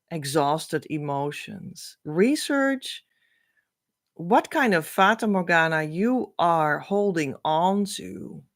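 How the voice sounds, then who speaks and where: Slow-speaking woman teacher. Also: low-pitched. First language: Dutch. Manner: earnest, reassuring, unhurried. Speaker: spiritual teacher. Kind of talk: spiritual teaching talk.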